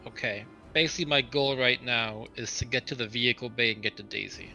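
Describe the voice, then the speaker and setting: A man's voice: medium pitch, fairly even intonation, a little fast, creaky, pushed and bright. A man chatting casually as a player in a tabletop roleplaying game. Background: background music.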